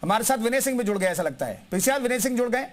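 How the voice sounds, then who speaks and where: A man's voice: extremely high pitch, lively intonation, racing. A man hosting a live TV news debate, insistently interjecting and addressing his guests by name. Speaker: debate show host.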